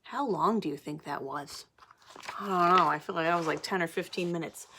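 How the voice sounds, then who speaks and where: A woman teacher reading a picture book to children, animated, pausing for simple explanations and questions. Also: lowish voice, background noise. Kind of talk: picture-book read-aloud with asides to children.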